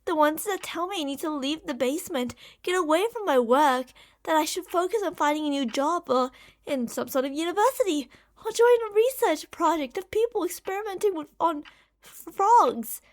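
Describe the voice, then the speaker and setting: A woman's voice: extremely high pitch, animated, moderate pace. A woman playing an impatient, obsessive female mad scientist in an ASMR roleplay, theatrical and condescending. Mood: mocking, indignant.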